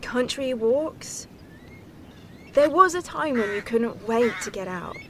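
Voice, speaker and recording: A woman's voice: on the high side, lively intonation, slow, slight background noise. An actress playing a restless young woman graduate, wry and challenging, in naturalistic audio drama. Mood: wry, suspicious. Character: restless young female graduate back home, wry and questioning. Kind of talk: naturalistic audio play dialogue.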